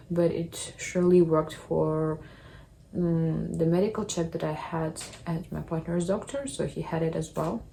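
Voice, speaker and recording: Low husky-edged woman vlogging. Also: dark tone, a little hiss or hum, rough recording. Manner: halting, apologetic non-native English with restarts. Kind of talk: casual chat to camera. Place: apartment.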